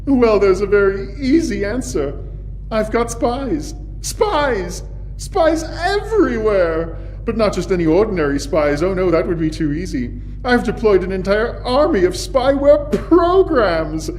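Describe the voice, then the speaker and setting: A high-pitched, grandiose male supervillain, acted by a man holds forth with pompous theatrical flair. He is a gloating comic film-serial schemer, heard over faint noise. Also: sing-song, unhurried, a little mellow, poor audio. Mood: scheming, boastful.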